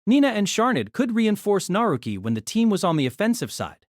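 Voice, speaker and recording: A woman's voice: medium pitch, sing-song, fairly quick, a little mellow, dry recording, clean studio sound. A woman narrating a scripted novel as a dramatic storyteller.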